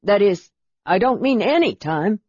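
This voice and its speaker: A man's voice: sing-song, unhurried, breathy edge, heavily acted. A man voicing a timid, dutiful, flustered male servant in an audiobook, nervous and deferential. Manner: nervous, deferential, high-voiced. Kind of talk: audiobook character voice. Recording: roomy echo, slightly dull sound.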